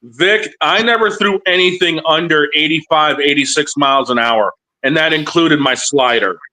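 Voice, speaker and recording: A man's voice: a little high, moderate pace, sharp tone, low-quality recording. A man co-hosting a sports broadcast with casual banter, often repeating phrases for emphasis.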